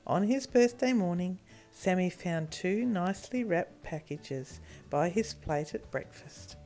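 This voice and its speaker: A woman reading a children's story in an expressive storytelling manner. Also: on the low side, faint music behind. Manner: animated, deliberate storytelling with acted character voices.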